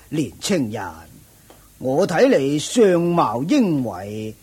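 An actor playing a proud older ascetic master in a radio drama, with a husky, raspy voice, a drawling self-satisfied manner. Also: male voice, a little high, sing-song, very slow, darkish tone, exaggerated voice. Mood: proud, unhurried. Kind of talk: Cantonese radio drama acting.

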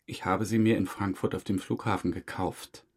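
A man's voice: low voice, steady tone, normal speed, breathy edge. A man acting a stubborn murder suspect in a crime audio drama, defensive, curt and evasive.

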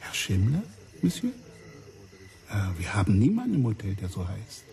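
An obliging French waiter in a radio play, mellow in tone, his pitch lilting up and down. He is discreet and deferential, eager to serve at a smart seaside hotel. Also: huge pitch swings, normal speed, gravelly, full character voice. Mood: obliging, polite. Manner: polite, deferential, peppered with French phrases. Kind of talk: radio drama acting.

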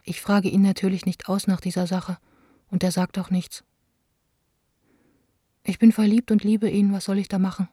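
An actress playing a young woman theatre director in a radio play, reading her letters aloud in a hushed, intimate, understated manner. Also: mid-range voice, flat delivery, moderate pace, pauses often, breathy edge, dry recording, studio quality, natural voice. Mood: pensive.

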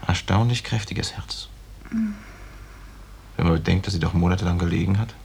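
A calm hospital doctor in an old radio play. His voice is low and gravelly. He speaks reassuringly over faint recording noise. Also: normal speed. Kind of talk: 1950s German radio drama acting.

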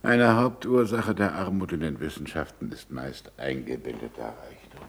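An actor playing a shrewd, commanding scientist and teacher in a German radio play, ironic and didactic. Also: male voice, a bass voice, moderate pace, vocal fry. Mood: solemn. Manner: didactic, probing questions, sardonic. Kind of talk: German stage-style radio play acting.

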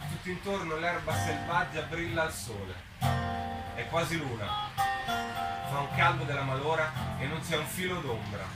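A man giving an expressive live reading of a first-person story. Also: fairly high pitch, unhurried. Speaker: reader at a book presentation. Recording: roomy, distant mic, guitar music behind. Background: plucked guitar music.

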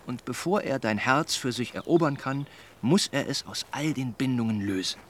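Earnest young gentleman, played by a man, lively intonation. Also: mid-range voice, slight background noise, lightly acted. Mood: earnestly persuasive. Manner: measured, formal, persuading. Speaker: radio drama actor. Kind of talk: German radio drama dialogue.

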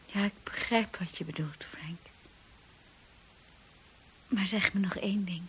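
An actress in a Dutch radio drama playing an insecure, earnest young wife who speaks in a hesitant, confiding way, often hushed. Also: female voice, medium pitch, measured pace, a little hiss or hum, close to an everyday voice, rough recording.

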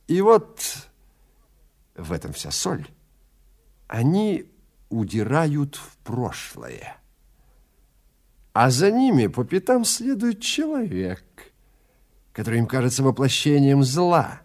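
A man playing a suave, menacing film director who speaks in an unhurried, theatrical, insinuating way with pauses between phrases. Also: medium pitch, a little mellow. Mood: sly storytelling. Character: suave, menacing male film director, calmly toying with his prey.

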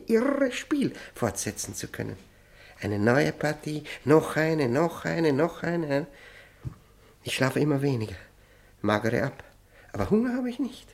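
An actor playing an obsessed, thirst-tormented prisoner who is losing his mind, in an intense, feverish radio drama performance with halting repetitions. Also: a little high. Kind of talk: German radio drama monologue and dialogue.

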